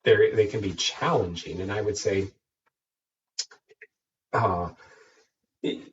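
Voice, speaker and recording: A man's voice: on the low side, slow pace, distant mic. A man co-hosting a podcast, naturally nasal and more so today from a head cold, joking and teasing in casual chat. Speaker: podcast co-host. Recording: dull lo-fi sound from off mic, faint hiss.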